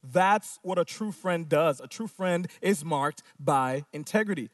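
A man with a high voice preaches a sermon, stressing his points by repeating phrases and firing off rhetorical questions. He is recorded in a dry space, a little off the mic. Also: lively intonation, a little slow, no room sound, far from the mic. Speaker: pastor.

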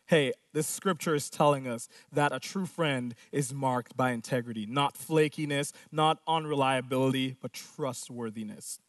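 A man's voice: mid-range voice, normal speed, hardly any pauses, no room sound, far from the mic. A pastor preaching a sermon, driving his points home with repeated phrases and rhetorical questions.

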